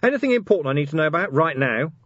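A man voicing a weary, decent police commander in a storybook, gruff and dryly sardonic, talking plainly and firmly. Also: a little high, big pitch swings, rapid-fire, fairly bright tone, no echo, dull audio, pitched above the performer's own voice. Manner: dry, sardonic, rousing plain talk.

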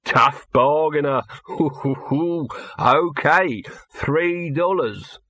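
A man plays a hustling showman boss in a storybook. The voice is raised high and sounds bright and forceful. The patter is clipped and wheedling, that of a pushy haggler always selling something, in a close, dry recording. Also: slow, no echo, full character voice. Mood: amused haggling. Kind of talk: audiobook character voice.

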